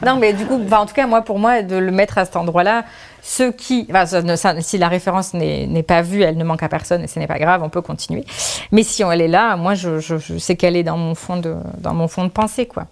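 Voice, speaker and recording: A woman's voice: fairly low pitch, brisk, gravelly. A woman author answering interview questions, thinking aloud with many restarts, fillers and trailing phrases. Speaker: author, guest. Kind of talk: casual spoken interview answers.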